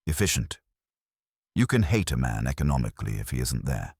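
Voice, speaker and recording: Wry male gumshoe, done by a narrator for a detective audiobook: clipped, deadpan, extremely low, husky. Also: a little fast, no echo, clean studio sound, heavily acted. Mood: sardonic.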